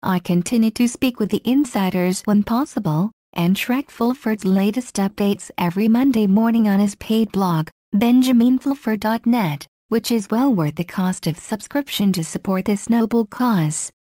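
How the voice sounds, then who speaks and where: A woman reading a blog article aloud in a steady, even manner. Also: medium pitch, normal speed, few pauses, no echo, studio quality.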